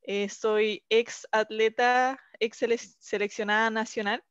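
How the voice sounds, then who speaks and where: Young Chilean woman, higher voice, presenting online; dry, slightly muffled call audio. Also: little pitch movement, slow, clear, resonant tone, no echo. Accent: Chilean Spanish. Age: young adult. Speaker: English teacher presenting a language project. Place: small indoor room, video call. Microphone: headset.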